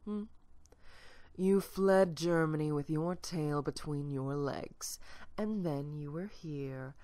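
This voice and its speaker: Woman playing icy boss lady villain, low voice, slow and condescending, dry recording. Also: warm tone, no room sound. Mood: taunting. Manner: condescending, measured, commanding. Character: cold, controlling villainous woman in command. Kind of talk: audio drama acting.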